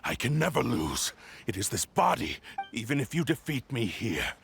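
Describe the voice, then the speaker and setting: An actor playing a proud, vengeful spirit of a cursed sword in a video game, haughty, grandiose and menacing. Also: male voice, mid-range voice, sing-song, normal speed, gravelly, a bit bright. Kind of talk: dramatic video game voice acting. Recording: game music behind the voice.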